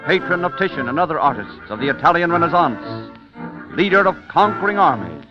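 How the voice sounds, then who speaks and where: A man narrating scripted history as a radio announcer, in a grand, declamatory vintage style with dramatic pauses. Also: on the high side, very animated. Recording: muffled vintage radio with music.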